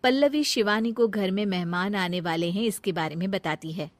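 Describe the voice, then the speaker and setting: A woman narrating a cartoon moral story in a plain, even storytelling manner. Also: medium pitch, normal speed, a little hiss or hum.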